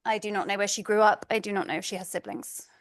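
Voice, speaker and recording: A middle-aged woman speaks with an English accent in an earnest, measured way, a touch nervous as she gives a prepared talk. Her voice sits at an ordinary pitch for a woman. The sound is dry and close, with no room echo. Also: level tone. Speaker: community speaker, parent advocate.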